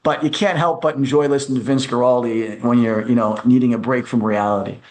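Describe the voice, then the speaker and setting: An American man answering as an interview guest, in a conversational way, often halting as he thinks aloud. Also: mid-range voice, fairly even intonation, darkish tone. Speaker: guest, music producer.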